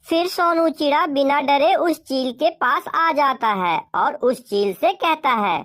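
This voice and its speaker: Higher-pitched woman narrating a children's story. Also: unhurried, fairly bright tone.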